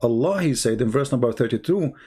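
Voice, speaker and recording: Fast, sharp-tongued man questioning a caller. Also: mid-range voice, animated, slightly rough. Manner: mocking, persistent questioning. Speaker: debate host. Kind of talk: heated call-in debate.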